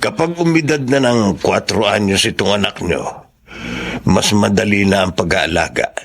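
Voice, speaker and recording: A man's voice: fairly low pitch, animated, normal speed, a bit bright, husky, exaggerated voice. An actor with a Filipino accent playing a kindly, hesitant older father-in-law in a radio drama, speaking reassuringly. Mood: gentle, concerned. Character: kindly, flustered elderly grandfather, patient father-in-law. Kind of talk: Tagalog radio drama acting.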